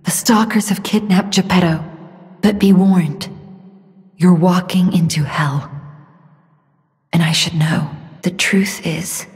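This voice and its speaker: Sorrowful, gentle young mystic woman, video game guide, breathy and soft, very unhurried, long cavernous reverb, music underneath. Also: fairly low pitch, fairly even intonation. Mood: grave, hushed. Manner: soft, breathy, measured, earnest delivery. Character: gentle, mysterious, sorrowful young woman guiding a chosen puppet.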